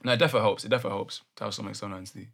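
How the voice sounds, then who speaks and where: A man's voice: lowish voice, steady tone, very fast, vocal fry, a bit bright. A young man hosting a casual podcast chat, pushing with probing questions and repeating words as he thinks. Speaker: podcast host.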